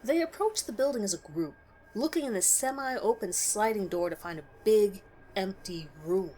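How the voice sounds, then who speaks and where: Woman reading a creepy story out loud, medium pitch, measured but expressive, some background noise. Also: low-quality recording. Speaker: narrator reading a horror story. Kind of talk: scripted first-person horror story narration.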